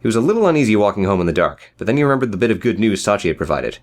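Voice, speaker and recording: Low-pitched, quick male audiobook narrator. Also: big pitch swings. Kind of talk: scripted dramatic story narration.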